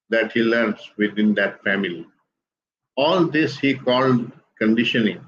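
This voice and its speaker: An older man speaks English with an Indian accent, calm and deliberate in long explanatory sentences. The stream sounds a little dull and muffled. A faint hiss sits underneath. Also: mid-range voice. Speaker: guest speaker on spiritual teachings. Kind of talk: measured explanatory talk.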